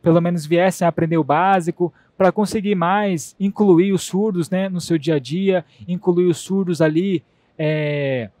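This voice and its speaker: Man, sign language interpreter, relaxed and playful, slightly high voice, dry close sound. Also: measured pace, no echo. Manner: relaxed, playful, laughs easily. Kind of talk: voicing a deaf speaker's talk in first person. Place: conference stage.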